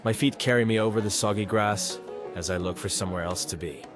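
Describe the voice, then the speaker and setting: A man's voice: lowish voice, fairly even intonation, fast talker. A man reading first-person scripted narration in a brooding, sardonic manner. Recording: music under the voice. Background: music.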